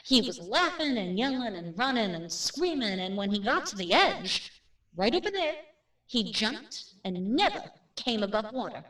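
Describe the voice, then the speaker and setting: A woman playing a brisk, matter-of-fact real estate agent in a radio drama, plain-spoken and without superstition. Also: a little high, sing-song, a bit bright, distant mic. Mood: brisk, dismissive. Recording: roomy, off-mic drama recording.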